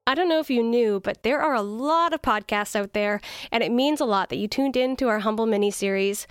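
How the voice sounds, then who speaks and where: A woman's voice: a little high, brisk, clean tone, no room sound, studio quality. A woman reading scripted podcast announcements and credits as the show's announcer. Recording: music behind the voice.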